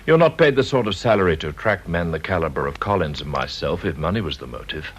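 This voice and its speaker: A man's voice: deep voice, lively intonation, a little hiss or hum. An actor with an English accent playing a mock-polite, menacing kidnapper who poses as a policeman in a radio drama. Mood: smugly menacing. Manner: mock-courteous, unhurried, insinuating.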